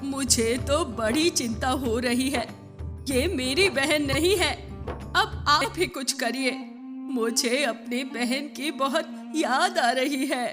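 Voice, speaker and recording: A woman's voice: high voice, slow pace, music in the background. A woman voicing a worried, distrustful old village woman in a cartoon story. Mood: worried, suspicious. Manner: distrustful, fretful.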